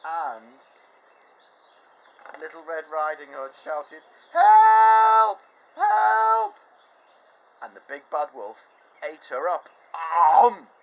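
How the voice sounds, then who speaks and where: A man reading a picture book aloud to children in an animated, expressive way, sitting outdoors in a garden with faint birds and insects behind him. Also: on the high side, slow pace, long pauses, dull audio. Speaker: children's storyteller.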